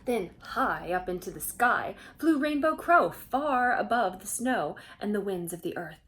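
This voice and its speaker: Woman reading a children's story expressively. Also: medium pitch. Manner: animated, asks the young listeners questions. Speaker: storyteller reading a picture book.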